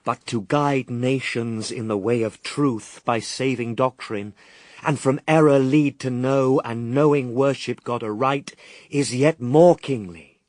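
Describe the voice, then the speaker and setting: A British actor playing a solemn, steadfast Son of God in a classical verse radio drama, speaking in measured, earnest declamation. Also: male voice, mid-range voice, a little mellow, no room sound, lightly acted. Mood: resolute, exhorting.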